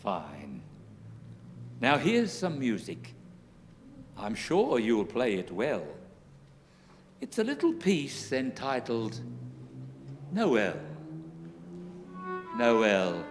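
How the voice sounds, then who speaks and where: An actor playing a kindly, bustling old Santa Claus in a radio play, hearty and chatty in rhyming verse. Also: male voice, mid-range voice, huge pitch swings, extremely slow, long pauses, soft background music, heavily acted. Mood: warm, generous. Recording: echoey hall with long reverb.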